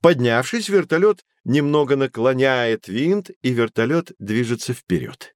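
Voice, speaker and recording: A man's voice: mid-range voice, animated, a little slow, dry recording, studio quality, close to an everyday voice. A man playing a learned, patient guide who explains things to children in formal, didactic phrases in an educational audio drama. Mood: explanatory.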